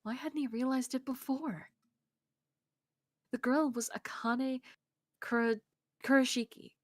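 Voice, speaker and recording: A woman's voice: sits high, steady tone, a little slow, no room sound. Woman narrating, dry close sound. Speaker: co-host reading visual novel narration. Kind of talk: scripted narration read aloud on a game stream. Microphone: close streaming mic, dry sound.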